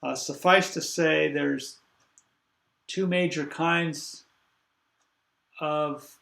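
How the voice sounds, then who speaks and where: An older man lecturing a university class over a video call, congested, halting and full of fillers as he thinks aloud. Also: fairly high pitch, steady tone, gravelly, fairly bright tone, roomy sound.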